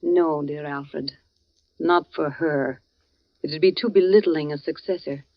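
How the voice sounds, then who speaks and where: An actress playing a glamorous, witty, world-weary stage star with an arch, theatrical manner in a 1940s radio drama. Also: female voice, low-pitched, steady tone, measured pace, no room sound. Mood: mocking, languid. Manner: theatrical, arch, drawling endearments. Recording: muffled old radio broadcast recording.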